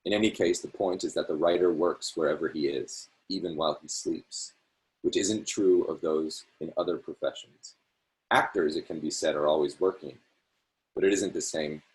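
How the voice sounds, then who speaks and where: A man reading a literary essay aloud over a video call, in a measured, phrase-by-phrase delivery with small self-corrections. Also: rumbling low, fairly even intonation, darkish tone, low-quality recording. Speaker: reader hosting a reading series.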